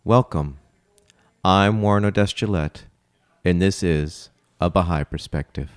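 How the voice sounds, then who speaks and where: A man hosting a community radio program, announcing and introducing in a measured, scripted way. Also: low voice. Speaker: radio host.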